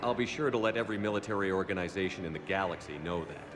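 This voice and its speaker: A man playing a deep, deadpan soldier commander. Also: racing, music in the background, lightly acted. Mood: dry. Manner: calm, dry and deadpan. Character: steady, dry human soldier commander. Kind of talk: video game cutscene voice acting.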